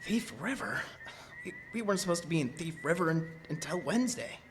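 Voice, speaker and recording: An actor playing a weary, fearful carnival show owner in a horror audio drama, halting and hesitant in his delivery. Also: fairly high pitch, very animated, background noise. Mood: groggy, confused.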